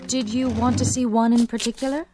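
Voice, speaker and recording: An actress playing a kindly, devoted housekeeper in a radio drama, speaking in a gentle, deferential way. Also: female voice, fairly high pitch, flat delivery, a little fast, music in the background, natural voice. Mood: helpful, attentive. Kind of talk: dramatic radio play acting.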